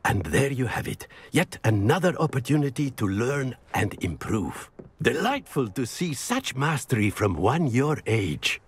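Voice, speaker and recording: A man's voice: mid-range voice, very animated, measured pace, background noise. A man playing a jovial older wizard professor in a video game, with a grandiose, genteel and playful manner. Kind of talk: video game character acting.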